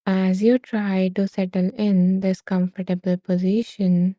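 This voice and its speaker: A woman leading a guided meditation in a slow, soothing way, with long gentle pauses. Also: medium pitch, level tone, no echo, studio quality.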